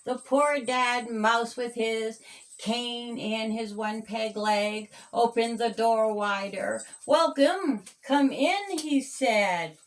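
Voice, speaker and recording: A woman reading a children's storybook aloud as its author, in a measured, sing-song rhyming delivery. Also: fairly high pitch, steady tone.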